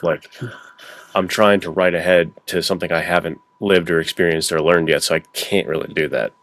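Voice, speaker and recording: A man co-hosting a podcast in informal conversation, often acting out his thoughts as little quotes. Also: low voice. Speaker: podcast co-host and writer. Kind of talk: casual conversational chat.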